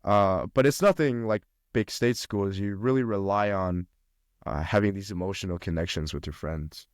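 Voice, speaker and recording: A man's voice: low voice, normal speed, clean tone, no room sound, studio quality. A young man from China, a podcast guest chatting casually about college life, with lots of fillers and restarts. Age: young adult. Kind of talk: casual conversation.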